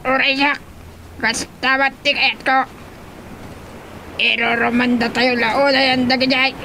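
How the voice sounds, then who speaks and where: A woman playing a sea creature in a radio drama, with a creaky, sharp voice and an Ilocano accent. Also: high voice, level tone, slow, a little hiss or hum, full character voice, low-quality recording. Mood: tense. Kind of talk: radio comedy-drama acting.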